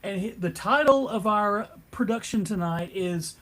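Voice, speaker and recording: A man's voice: sits high, animated, a little slow, fairly bright tone. A man acting as panelist and narrator of a comic book table read, with theatrical, emphatic delivery.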